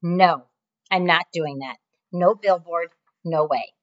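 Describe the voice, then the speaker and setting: Woman doing a gruff reluctant father. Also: on the low side, slow pace. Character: gruff stubborn middle-aged father. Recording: muffled lo-fi recording.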